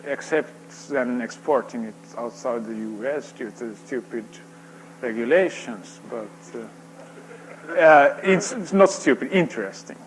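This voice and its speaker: A man whose first language is Swedish takes audience questions at a conference. He is casual and self-deprecating. The voice sits mid-range, with steady hiss behind it. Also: mid-range voice, measured pace, low-quality recording. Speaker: conference speaker. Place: conference hall.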